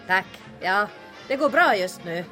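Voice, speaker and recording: A woman's voice: mid-range voice, expressive, pushed and bright. An actress playing a shrewd, sardonic female bar owner in a comedic wartime audio drama, dry and teasing with a bossy streak. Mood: welcoming. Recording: music and crowd chatter behind voice. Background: bar crowd and music.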